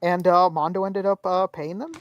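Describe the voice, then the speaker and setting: A young adult co-hosting a casual podcast, talking in a relaxed, rambling way with plenty of fillers and casual swearing. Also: medium pitch, normal speed, no room sound, dull audio. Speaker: podcast co-host. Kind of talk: casual chat about action figures.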